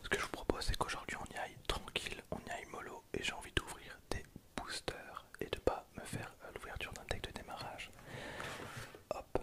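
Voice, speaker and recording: An ASMR host speaking in a soft, breathy whisper, chatting casually and informally to viewers in an unscripted, rambling way. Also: brisk. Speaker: host of an ASMR card-opening video channel.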